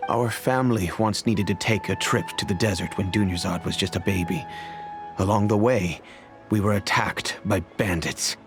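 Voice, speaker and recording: An actor playing a grave older patriarch of a wealthy family, speaking in a measured, solemn storytelling manner. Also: male voice, on the low side, music in the background, lightly acted. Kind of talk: video game voice acting.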